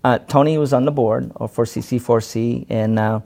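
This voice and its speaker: A man from the Philippines, a self-defense instructor, talking as a guest in an interview, halting with many fillers and restarts. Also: medium pitch, steady tone, creaky, a little mellow. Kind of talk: casual interview conversation.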